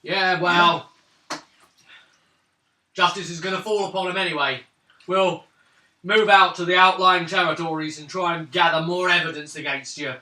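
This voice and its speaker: A man voicing an angry male villager leading a mob, loud and threatening. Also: sits high, fairly even intonation, moderate pace, pauses often, pitched above the performer's own voice. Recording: roomy room, mic far off.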